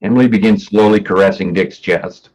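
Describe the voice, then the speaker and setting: A man's voice: deep voice, fairly even intonation, brisk, a little mellow, poor audio. A man reading stage directions as scripted narration for a staged play reading.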